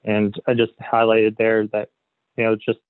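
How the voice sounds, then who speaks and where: A man presenting a software webinar as a consultant, explaining slides in a hesitant way padded with "you know". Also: on the low side, monotone, a little slow, clear, resonant tone. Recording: phone-like narrow band, roomy echo.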